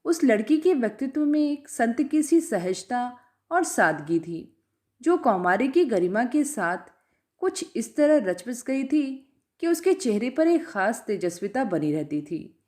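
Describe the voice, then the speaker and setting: A woman reading a literary story aloud in a measured, scripted narration style. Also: on the high side, sing-song, darkish tone.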